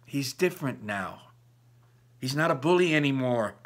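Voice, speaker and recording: A man's voice: medium pitch, animated, normal speed, natural voice. A man voicing an upset, defensive teenage girl from a storybook, arguing her side with her parents. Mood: insistent.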